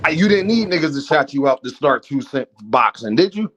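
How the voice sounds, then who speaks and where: A man's voice: medium pitch, sing-song, brisk, fairly bright tone, breathy edge. A man hosting a casual boxing panel stream, talking emphatically and cursing freely. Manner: emphatic, swears freely, repeats names.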